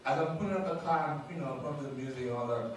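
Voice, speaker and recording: A young man from Ghana with a Ghanaian accent performing a stand-up comedy set, loose and laughing, jumping into quick impressions of other people. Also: a little high, noisy background. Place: comedy club stage, room echoing. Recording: echoey club from far off.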